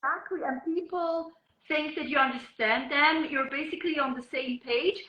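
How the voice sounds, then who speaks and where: A woman's voice: high voice, moderate pace, echoey room, dull audio. A woman from Switzerland with a Swiss accent whose first language is Swiss German, speaking as a journalist guest in an interview, thoughtful and full of ums and uhs. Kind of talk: interview conversation.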